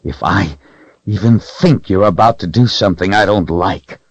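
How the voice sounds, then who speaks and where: A stern young swordsman presses firm, threatening questions, as a male narrator voices him. The voice is deepish and lifted only slightly from the narrator's own. The recording sounds dry and a little dull. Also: normal speed, slightly rough, no echo.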